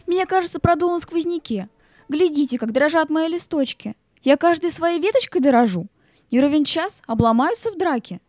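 Lady voicing a scared fretful little tree, super high voice, dry sound with music behind. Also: expressive, moderate pace, darkish tone, dry recording. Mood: fretful, cowardly. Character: timid frail tree, fretful and cowardly. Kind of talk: audio play acting.

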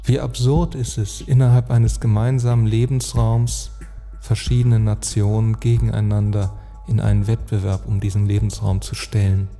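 A man narrating an earnest, reflective spoken essay, phrasing deliberately and emphatically with rhetorical weight. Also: on the low side, level tone, mellow. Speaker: speaker and author on climate and sustainability. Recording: long reverb, music underneath. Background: music.

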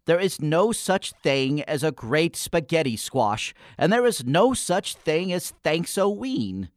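A man's voice: on the high side, big pitch swings, slow pace, no room sound, studio quality. A man playing a morose, skeptical boy in a comic gothic radio play, with a formal, wordy, exasperated manner.

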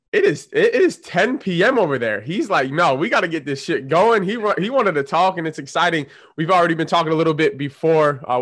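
A man's voice: on the high side, fairly bright tone, no room sound, clean studio sound. A man co-hosting a fitness podcast, introducing a guest in a casual, friendly way. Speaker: podcast co-host.

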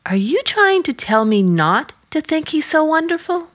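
A woman's voice: high-pitched, very animated, normal speed, a bit bright. An American actress playing an earnest, restless young small-town woman in a radio drama. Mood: wistful, admiring. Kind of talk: old-time radio drama acting.

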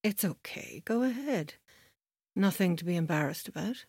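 An actress playing a steady Irish police officer who questions in a reassuring, coaxing way in an audio drama. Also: female voice, on the low side, normal speed, slightly rough, no echo, studio quality. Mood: gently coaxing. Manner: reassuring, probing. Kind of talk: naturalistic audio drama acting.

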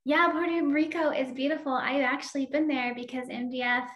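Female interview host, welcoming and upbeat; a bit high-pitched, recorded in a reverberant room. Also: steady tone, fairly quick, fairly bright tone. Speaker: podcast host. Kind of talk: podcast interview hosting.

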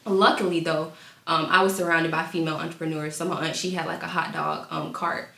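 A woman's voice: sits low, fairly even intonation, a little fast, vocal fry, fairly bright tone. A young woman, an entrepreneur, talking casually with many fillers during a panel discussion. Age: young adult. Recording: echoey room, distant mic.

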